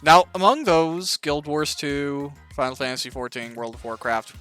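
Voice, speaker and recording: Male interviewer, bright forward voice a touch high, casual, thinks aloud while playing a game. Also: normal speed, soft background music. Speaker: interviewer and host.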